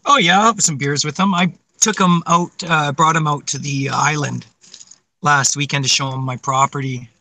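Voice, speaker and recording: Bright-voiced man in his forties, chatting. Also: fairly high pitch, fairly even intonation, moderate pace, no room sound, low-quality recording. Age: 40s. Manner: rambling, laughs often, swears casually. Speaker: livestream co-host. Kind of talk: casual chat.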